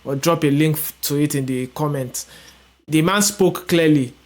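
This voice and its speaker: Young man, higher-pitched voice. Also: normal speed. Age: young adult. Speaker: host. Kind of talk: casual commentary.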